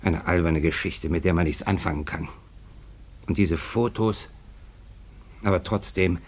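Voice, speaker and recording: An actor playing a brisk, curious magazine editor in a radio drama, abrupt and musing in manner. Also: male voice, low voice, husky, heavily acted. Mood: distracted, musing.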